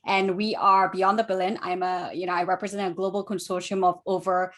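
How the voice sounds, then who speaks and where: A woman's voice: fairly low pitch, little pitch movement, a little fast. A woman from Malaysia introducing herself fluently and upbeat as an investor on an online panel.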